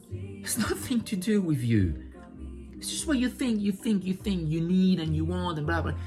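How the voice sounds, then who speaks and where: A man talking straight to his audience in an unscripted personal monologue, blunt and profane, building to impassioned outbursts. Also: sits high. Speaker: vlogger sharing personal insights.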